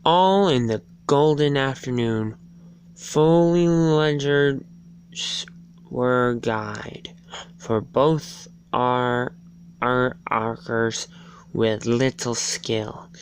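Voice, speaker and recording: A person reading verse and a storybook aloud as an amateur, halting and stumbling over words. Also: medium pitch. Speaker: amateur reader. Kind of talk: dramatic storybook and poem reading. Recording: faint background noise and hum.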